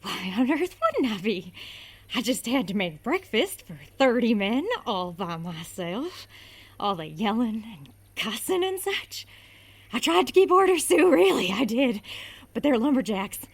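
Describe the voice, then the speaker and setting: An actress playing a frazzled cook who has gone dazed and eerily calm. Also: female voice, fairly high pitch, a little slow, husky, full character voice. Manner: dazed, dreamy and unsettled. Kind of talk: audio drama acting.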